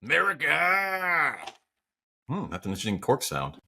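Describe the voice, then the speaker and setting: A man's voice: on the high side, huge pitch swings, normal speed, vocal fry, pushed and bright, slightly rough. A man bantering casually as a podcast co-host, with a wry, playful and emphatic way of talking.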